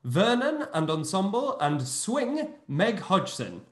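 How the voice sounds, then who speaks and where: A man hosting an online play reading, briskly announcing each cast member over a video call. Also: high-pitched, echoey room. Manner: brisk, announcing names in a lively sing-song. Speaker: host and producer.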